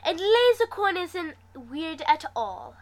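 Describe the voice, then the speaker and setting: A woman's voice: very high voice, sing-song, takes it slowly, pitched above the performer's own voice, heavily acted. A woman voicing a playful, sarcastic young sister in a story, sing-song and mock-threatening. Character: playful, teasing twenty-five-year-old woman, sarcastic sister.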